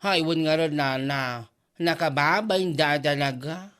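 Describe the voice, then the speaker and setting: A woman's voice: low-pitched, a little slow, a bit bright, clear, resonant tone. A woman playing a gossipy, mocking neighbour in a comedy drama, teasing and exclamatory. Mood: gossiping, scornful. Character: mocking, gossipy neighbour who teases and scolds. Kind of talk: Ilocano comedy drama acting.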